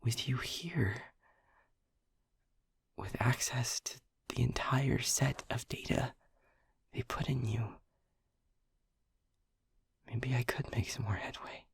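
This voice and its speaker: A man voicing an anxious, devoted technician lover who whispers his pleas in a husky, creaky voice and stammers as he talks. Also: mid-range voice, fairly even intonation, takes it slowly, pauses often, no room sound, heavily acted. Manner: hesitant and stammering, pleading. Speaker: audio roleplay performer. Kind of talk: intimate whispered audio roleplay.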